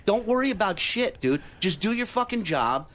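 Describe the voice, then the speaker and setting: A man's voice: high-pitched, big pitch swings, normal speed, fairly bright tone. Male comedian type, worked-up storyteller with a raised, swinging voice, salty language, re-enacts arguments. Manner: exasperated, profane, acts out quoted dialogue. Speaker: stand-up comedian and staff guest on a radio show. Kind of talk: heated anecdote telling in casual studio talk. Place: radio studio.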